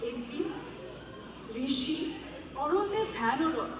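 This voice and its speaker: Wronged sage's wife onstage, slow dramatic delivery, bitter n proud, big echoey hall w crowd. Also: female voice, high voice, expressive. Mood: storytelling, aggrieved. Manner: dramatic, deliberate, declamatory with bitter irony. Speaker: stage actress. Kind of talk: theatrical stage monologue. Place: stage before a live audience. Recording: echoey hall, distant mic, audible crowd.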